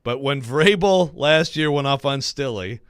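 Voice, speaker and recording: A man's voice: medium pitch, lively intonation, measured pace, smooth, no room sound, clean studio sound. A man co-hosting sports radio with casual banter. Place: dry radio studio.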